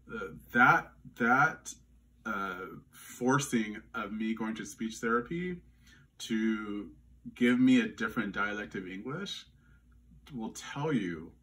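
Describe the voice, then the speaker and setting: A man from Louisiana with no regional accent, giving earnest, measured answers in an interview, often restarting his phrases. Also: fairly low pitch, sharp tone, recorded from a distance, slight background noise.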